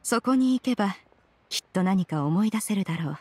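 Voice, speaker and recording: A woman's voice: medium pitch, lively intonation, a little fast, background noise, close to an everyday voice. A woman voicing a proud, composed female mystic in a Japanese fantasy game, speaking with archaic, authoritative wording. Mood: calm, decisive. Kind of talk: Japanese anime game voice acting.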